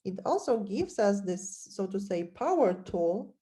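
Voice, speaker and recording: Slow-talking woman host, mellow voice. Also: medium pitch, big pitch swings. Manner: deliberate, many uhs. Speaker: podcast host. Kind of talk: interview conversation.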